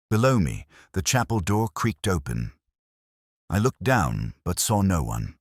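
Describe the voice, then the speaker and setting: A man narrating as a brooding, weary middle-aged detective, in a measured, reflective and wry way. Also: low-pitched, no room sound, clean studio sound, exaggerated voice. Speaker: first-person narrator. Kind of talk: scripted radio-drama narration.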